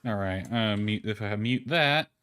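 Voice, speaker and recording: A man's voice: fairly low pitch, measured pace, a bit bright, clean tone. A man giving casual live gameplay commentary, rambling and thinking aloud as he goes. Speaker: game streamer. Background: background music.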